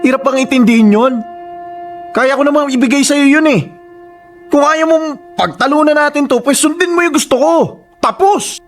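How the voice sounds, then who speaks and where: A man playing a possessive, quick-tempered husband in a radio drama, with a demanding, argumentative manner. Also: very high-pitched, soft background music. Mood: angry, bossy. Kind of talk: Tagalog radio drama acting.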